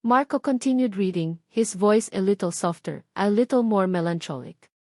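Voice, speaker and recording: A woman's voice: mid-range voice, lively intonation, moderate pace, warm tone, no room sound. Filipino woman narrating romance, close dry sound. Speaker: audiobook narrator. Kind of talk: scripted dramatic romance narration.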